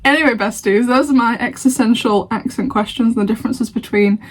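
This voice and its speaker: A woman's voice: on the high side, a little fast. A young woman from Lancashire with a Lancashire accent, chatting casually as a reaction vlogger, laughing often and sounding playfully indignant. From Lancashire, England. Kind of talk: casual reaction chat to camera.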